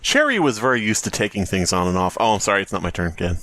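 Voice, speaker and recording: A man's voice: lowish voice, big pitch swings, fast, pushed and bright. A man hosting a podcast in casual, joking banter, often given to laughing as he talks. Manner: laughs often while talking. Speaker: podcast host.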